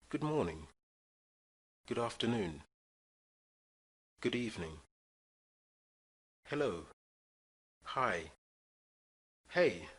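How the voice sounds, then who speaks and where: A man teaching English, reading short phrases slowly and clearly for listeners to repeat. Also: lowish voice, a bit bright. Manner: clear drill-style reading of phrases for repetition. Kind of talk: slow scripted language lesson.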